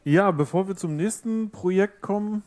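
A man's voice: high voice, normal speed, a crowd in the background, noisy background. A man co-hosting a showcase, casually introducing the next team. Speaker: co-host. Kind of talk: moderating a project showcase.

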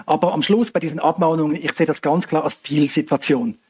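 A man's voice: a little high, steady tone, brisk, a little mellow, breathy edge. A man from Switzerland, answering audience questions after a talk in an explanatory way. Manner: explanatory, hedging asides, frequent fillers.

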